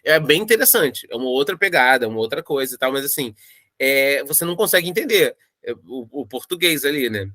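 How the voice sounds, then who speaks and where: A man's voice: mid-range voice, animated, a little fast, sharp tone, no echo, studio quality. A Brazilian man talking casually in an interview, rambling and hesitant, often stammering over repeated starts. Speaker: audio drama creator being interviewed.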